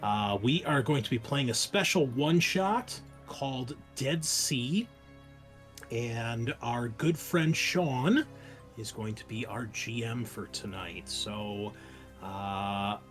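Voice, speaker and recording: Male host, medium pitch, casual show intro, chatty and informal, background music. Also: slow, a bit bright. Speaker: podcast host.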